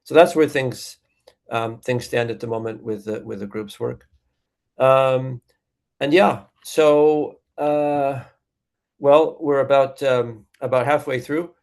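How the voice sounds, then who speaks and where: A man with a gravelly voice chairs an online meeting from a video call. He speaks in a relaxed, halting way, with many ums and pauses. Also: medium pitch.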